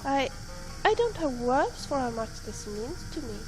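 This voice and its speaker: A woman's voice: high voice, big pitch swings, measured pace, music in the background, poor audio. A woman playing an earnest, chatty, caring adventuring companion in a video game. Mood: moved, hopeful.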